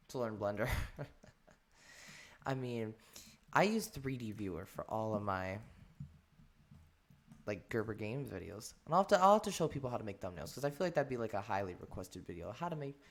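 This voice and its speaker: Male podcast host, casual chat, excitable, lots of fillers, average pitch. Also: moderate pace, long pauses.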